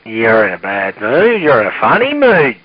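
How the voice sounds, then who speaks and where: A man's voice: medium pitch, huge pitch swings, normal speed. A man chatting casually over CB radio, blunt and sweary. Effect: CB radio transmission. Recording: muffled hissy CB radio transmission.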